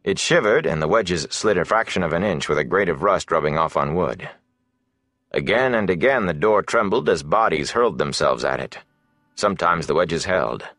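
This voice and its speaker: A man's voice: deep voice, lively intonation, moderate pace, a bit bright, no echo, clean studio sound. A man reading scripted fantasy narration dramatically as an audiobook narrator.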